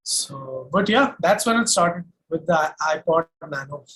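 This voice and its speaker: A man from Delhi with an Indian accent, casually looking back as an interviewed singer, reflective and pausing often. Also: on the high side, lively intonation, fairly bright tone, husky, rough recording. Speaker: singer-songwriter being interviewed.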